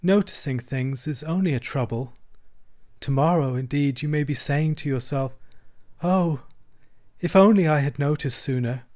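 A man's voice: on the high side, moderate pace, a little mellow, natural voice. A languid, self-pitying toad from a storybook, acted by a man. He murmurs feebly and draws out his words like a martyr on his deathbed. The voice is weak and sorry for itself. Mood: sad, wheedling. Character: languid, self-pitying male toad feigning mortal illness. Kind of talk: storybook character acting.

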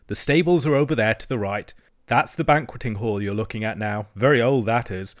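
A man's voice: mid-range voice, a little fast, no echo, close to an everyday voice. Guy doing a chatty good natured water rat from a kids storybook, close dry sound. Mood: chatty, confident. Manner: indignant, opinionated, colloquial. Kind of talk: children's storybook character voice.

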